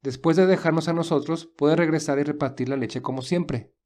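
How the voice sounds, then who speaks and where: A man's voice: on the high side, a little fast, a little mellow, natural voice. Man acting a polite young man, lightish voice. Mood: courteous, persuasive. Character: polite, resourceful young man stranded with his companion. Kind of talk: audio story character dialogue.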